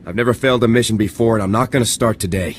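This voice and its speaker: Brisk, confident male soldier, played by an actor. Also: on the low side, a little hiss or hum, close to an everyday voice. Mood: resolute. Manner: brisk, firm, respectful to superior. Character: confident, dutiful male soldier, a military sergeant. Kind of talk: video game cutscene dialogue.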